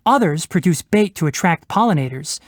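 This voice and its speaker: A man's voice: fairly high pitch, expressive, brisk, no echo, natural voice. A man voicing a young fox-eared forest ranger and botanist in a video game, precise and articulate with a dry, wry manner. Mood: instructive, composed. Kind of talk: video game character voice lines.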